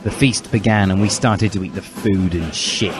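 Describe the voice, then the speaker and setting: A man's voice: low-pitched, moderate pace. A man narrating a comic story as a dramatic reading, with solemn, overwrought emphasis. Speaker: narrator, reader. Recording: loud music behind the voice.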